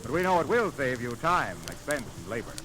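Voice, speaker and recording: A man's voice: medium pitch, sing-song, brisk. A man announcing on 1930s radio, reading scripted copy in a polished, persuasive salesman's delivery. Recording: noisy vintage 1930s radio recording.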